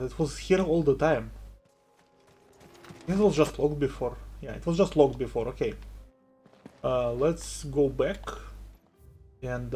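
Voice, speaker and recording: A man's voice: fairly high pitch, a little mellow. A man with a non-native English accent and a light voice, chatting casually and rambling while live-streaming a game. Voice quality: light, somewhat boyish voice. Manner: chatty and rambling, many fillers and restarts.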